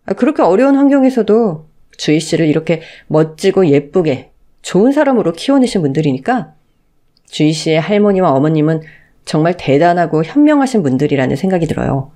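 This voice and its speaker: A woman voicing a gentle, polite, earnest man in his thirties in a radio story drama. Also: on the low side, very animated, fairly quick. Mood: tender, earnest. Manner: soft-spoken, polite, earnest.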